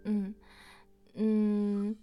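A woman's voice: mid-range voice, completely flat delivery, extremely slow, lo-fi sound, faint music behind. A woman hosting a playful live-stream question-and-answer session. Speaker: host of a live Q&A with voice actors.

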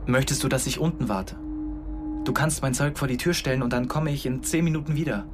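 An actor playing a young man who pleads in a halting, hesitant way with someone who won't answer, in a radio drama. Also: medium pitch, natural voice. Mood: pleading. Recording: radio play with loud music.

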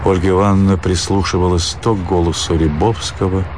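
A man's voice: low voice, level tone, a little fast, background noise. A man narrating a literary story as part of a radio drama, in a scripted storytelling style. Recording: violin music behind the narration. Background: violin music.

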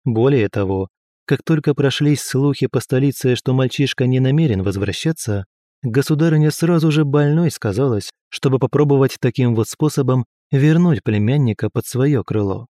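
A man reading historical fiction aloud in an even, measured narration. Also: medium pitch, no echo, studio quality. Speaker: audiobook narrator.

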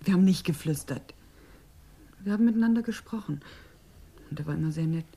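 Upset young female witness, radio drama: deep for a woman, breathy, timid, defensive. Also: fairly quick, mellow. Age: young adult. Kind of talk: German radio crime drama acting.

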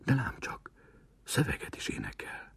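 A man acting as a wry, observant storyteller in a dramatic literary reading, with a breathy rasp and a slow, theatrical, sometimes hushed manner. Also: whispered, lightly acted. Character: wry, observant male storyteller in a café sketch. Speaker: actor reading a literary sketch.

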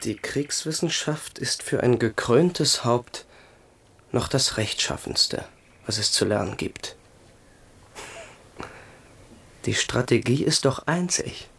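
An actor playing a bitter, cultured young crown prince in a radio play, declaiming with theatrical irony. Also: male voice, medium pitch, unhurried, gravelly, slightly rough. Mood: scornful irony. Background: music.

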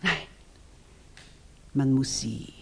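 A woman acting a grand, affected salon lady in a 1960s German radio play, imperious and halting in her theatrical delivery. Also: rumbling low, slightly rough, heavily acted. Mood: haughty, musing.

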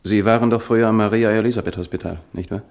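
Actor playing a gravelly wounded young fugitive. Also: male voice, fairly low pitch, steady tone, quick pace, lightly acted. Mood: strained. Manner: strained, hushed. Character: Martin Henrizi, wounded young fugitive in pain. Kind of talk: radio play acting.

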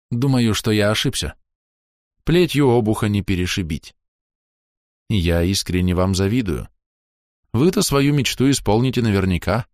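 A man's voice: on the low side, no echo, studio quality, natural voice. A man voicing a blunt, sceptical statesman in his forties in an audiobook, direct and emphatic. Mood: resigned farewell.

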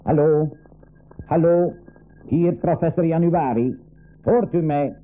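A man's voice: on the high side, takes it slowly. A man playing an older, stubborn professor and uncle in a 1980s school radio play, speaking emphatically with hesitant repetitions. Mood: urgent calling. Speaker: radio drama actor. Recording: narrow phone-like old radio recording.

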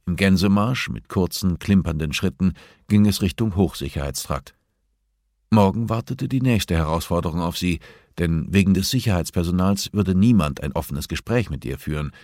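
A man narrates a novel in a low-pitched voice. The recording is dry and close, with hardly any room sound around his scripted reading. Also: normal speed, darkish tone, no echo. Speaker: audiobook narrator.

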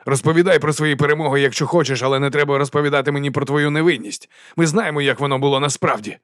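A man performs a commanding grey-bearded grand duke in a fantasy story. The delivery is emphatic and accusing, pitched up from plain narration, with a dry close sound. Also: fast talker, a bit bright, no room sound, natural voice. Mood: accusing, wounded.

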